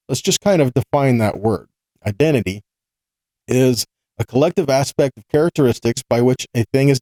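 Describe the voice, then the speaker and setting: A man's voice: medium pitch, dark tone, no room sound, rough recording. Man teaching scripture, very dry close sound. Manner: didactic, asking rhetorical questions and checking in with "right?". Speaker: religious teacher giving a weekly scripture teaching.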